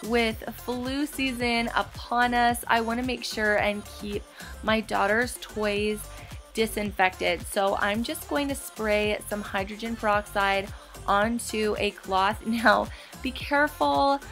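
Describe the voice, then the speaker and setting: A woman's voice: on the high side, slow. A woman in her thirties giving a chatty, bubbly voice-over for a home cleaning tutorial, with light laughs. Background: soft background music.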